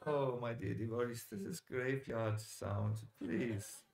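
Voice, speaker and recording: A man giving a sermon as a religious teacher, telling scripture stories deliberately, with dramatic pauses and direct appeals to his listeners. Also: medium pitch, fairly even intonation, vocal fry, darkish tone. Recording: echoey lecture hall sound.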